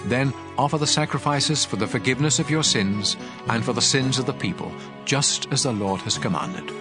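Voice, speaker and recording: A man's voice: mid-range voice, moderate pace, lightly acted. An actor playing a commanding male prophet, solemn and declamatory, instructing his priests in a dramatized scripture reading. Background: music.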